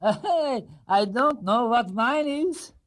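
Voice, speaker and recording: Very slow, courteous old gentleman. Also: extremely high pitch, expressive, pitched above the performer's own voice, full character voice. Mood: delighted, wondering. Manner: slow, halting, deliberate delivery. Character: kindly elderly gentleman, courteous, slow and ponderous.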